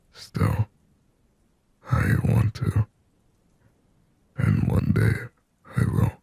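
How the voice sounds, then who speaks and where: A man voicing a lonely, possessive demon in a slow, intimate ASMR roleplay, whispering breathily and raspily close to the microphone with halting, brooding phrasing. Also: extremely low pitch, mellow, no room sound, exaggerated voice. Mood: hesitant longing. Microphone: very close, dry microphone.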